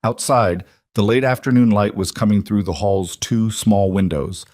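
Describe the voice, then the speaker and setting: A man reading a dramatic western story as scripted narration, measured and deliberate in his delivery. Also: on the low side, a little mellow, no echo. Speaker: audiobook-style story narrator.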